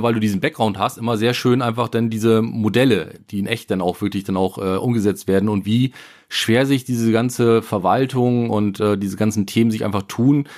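A man's voice: on the low side, darkish tone. A man, a startup founder telling his story in a podcast interview, rambling with many fillers.

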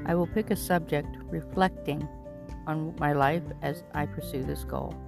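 A woman reading a scripted podcast introduction in an even, prepared way. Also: low voice, creaky. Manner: reads evenly from a prepared script. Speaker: podcast host. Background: guitar music.

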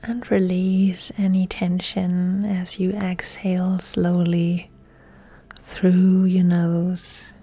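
A woman yoga instructor leading a guided relaxation in a soft, breathy, soothing, hushed voice that often nears a whisper. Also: fairly low pitch, monotone, very slow.

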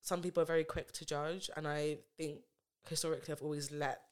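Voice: mid-range voice, little pitch movement, a little fast, clear, resonant tone. A young adult guest writer talking casually in a podcast chat, conversational and full of fillers. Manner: conversational, chatty with many fillers.

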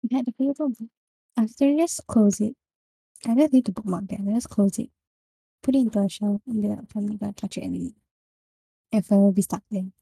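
A young woman with a Singaporean English accent, casually discussing a book with friends and often pausing to find her words. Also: medium pitch, gravelly, dark tone, slightly rough, no echo, low-quality recording. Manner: halting, searching for words. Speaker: book club member.

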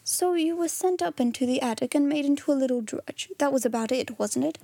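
Lady doing calm kindly gentleman from a storybook, reassuring, highish, dry recording. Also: gravelly, dry recording. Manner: quick, gentle. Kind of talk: storybook character reading.

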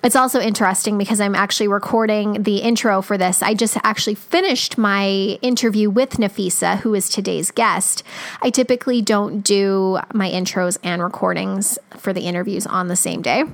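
A woman's voice: mid-range voice, steady tone, moderate pace, vocal fry. A woman hosting a podcast, her voice a little scratchy today, talking in an upbeat, chatty way with many fillers. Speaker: podcast host. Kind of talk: casual podcast intro and interview.

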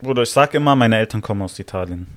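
A man's voice: on the low side, lively intonation, racing, dry recording. A young man co-hosting a casual podcast, talking in slangy, argumentative bursts and often checking whether he is understood. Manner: slangy, argumentative, frequent "weißt du, was ich meine". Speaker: podcast co-host.

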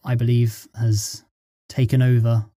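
A man answering interview questions as a scriptwriter guest, halting as he pieces his phrases together. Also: mid-range voice, level tone, dark tone, no echo. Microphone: close studio microphone.

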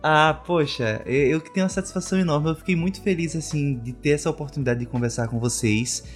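A man's voice: fairly high pitch, fast talker, soft background music. A man hosting a podcast who welcomes his guests in an effusive, chatty way. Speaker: podcast host. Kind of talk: podcast interview.

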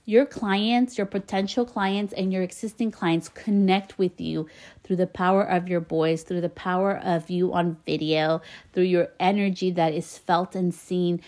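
Woman podcast host, slight Spanish-tinged accent, earnest and encouraging, a touch low-pitched. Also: steady tone, a little slow, darkish tone. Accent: slight Spanish-influenced. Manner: earnest, encouraging, laughs between phrases. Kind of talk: casual motivational monologue.

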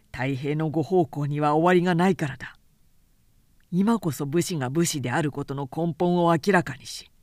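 A woman voicing a resolute, principled samurai man in an audiobook, speaking in a firm, quiet, deliberate and formal way. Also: low-pitched, no room sound, clean studio sound, close to an everyday voice. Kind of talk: dramatic audiobook character reading.

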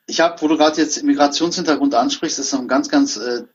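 A man from Berlin talks through his career in relaxed conversation, slipping into the voices of the people he quotes. His voice sits a bit high and has a husky edge. The recording sounds dull and lo-fi. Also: fast talker. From Berlin, Germany. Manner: anecdotal, acts out dialogue. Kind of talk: casual conversation.

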